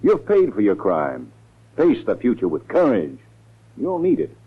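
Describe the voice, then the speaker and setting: Pushy scheming lawyer man, 1940s radio drama, raspy, lowish voice, tinny old recording. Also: wildly swooping pitch, unhurried, heavily acted. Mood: firm urging. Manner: firm, insistent, commanding. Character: scheming, authoritative lawyer urging and pressuring others.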